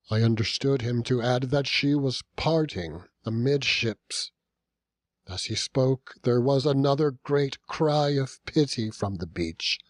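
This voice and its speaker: A man narrating a classic novel as an audiobook, in a measured, dramatic storytelling manner with deliberate pauses. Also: mid-range voice, no echo, clean studio sound. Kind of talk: scripted literary narration.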